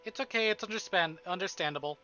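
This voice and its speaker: Excitable guy streamer gushing over a game, really bright sharp voice, slightly dull audio w music behind. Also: high-pitched, quick pace. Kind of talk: live playthrough commentary.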